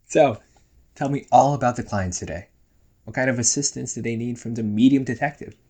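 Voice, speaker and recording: A man's voice: mid-range voice, normal speed, pauses often, warm tone, close to an everyday voice. A man playing a playful, nagging young ghost brother in a casual roleplay audio drama, teasing and chatty. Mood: bantering. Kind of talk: casual one-sided roleplay audio acting.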